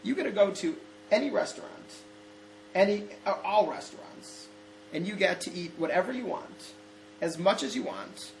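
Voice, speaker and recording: A man hosting a casual chat, posing hypothetical questions with many halting restarts. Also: high-pitched, big pitch swings, roomy sound, slight background noise, rough recording. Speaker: host asking hypothetical questions.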